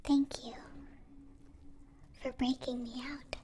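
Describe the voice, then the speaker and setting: Woman as a high, halting, half-whispering gentle alien. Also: level tone, a little hiss or hum. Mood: grateful, polite. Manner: halting, formal, stilted phrasing without contractions. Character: gentle, polite young alien, grateful new friend. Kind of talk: ASMR roleplay.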